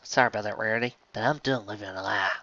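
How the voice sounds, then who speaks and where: A man voicing a blunt, hardworking farmer pony mare with a loud Southern drawl, reading a fan story aloud. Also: fairly low pitch, fast, muffled recording. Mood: resigned. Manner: loud twangy drawl, impassioned.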